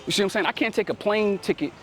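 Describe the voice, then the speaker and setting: A man's voice: high voice, very fast. A man preaching outdoors in street teaching style, ending nearly every sentence with "right?" and telling a helper to read. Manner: commanding, calls on the reader to read. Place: outdoors in a city.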